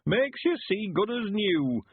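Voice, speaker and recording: A man's voice: sing-song, a little hiss or hum, heavily acted. Man voicing a frail old-timer. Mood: eager. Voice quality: high quavering old voice, slow drawl. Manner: chuckling, rustic, stubborn. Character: frail cheerful 91-year-old man, courting. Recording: phone-like lo-fi sound.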